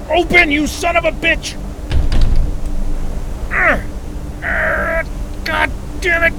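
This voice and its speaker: A man's voice: very high-pitched, gravelly, background noise, full character voice. A man playing a frantic, foul-mouthed man on the run in an audio drama, urgent and prone to exclaiming. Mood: straining, exasperated.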